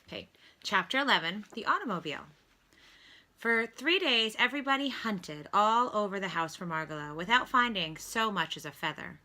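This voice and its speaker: A woman reading a children's storybook aloud like a teacher, with deliberate, instructive delivery. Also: mid-range voice, expressive, sharp tone. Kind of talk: read-aloud storybook narration with lesson prompts.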